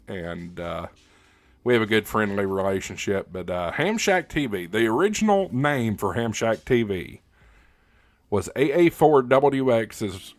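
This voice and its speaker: A man's voice: on the low side, no room sound. A man hosting a casual livestream, reading out viewer comments and answering in a conversational, rambling way as he thinks aloud. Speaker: livestream host.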